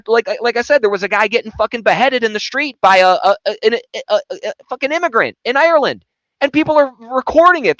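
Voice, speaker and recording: A man's voice: sits high, bright, forceful tone, no room sound, rough recording. A man in his thirties co-hosting a podcast, talking bluntly and swearing freely in casual chat. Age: 30s. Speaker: podcast co-host.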